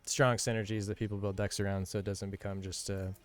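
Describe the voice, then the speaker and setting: A man co-hosting a casual stream, chatting and explaining his card game's design in a relaxed way. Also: on the low side, quick pace, soft background music. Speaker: game developer and stream co-host. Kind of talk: casual chat and game commentary.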